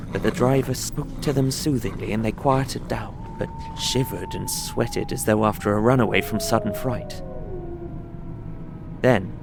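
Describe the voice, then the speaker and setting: A man playing an anxious traveller in an audio drama, recounting a frightening journey in measured, literary, suspenseful narration. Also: fairly low pitch, gravelly, noisy background. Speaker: narrating lead character.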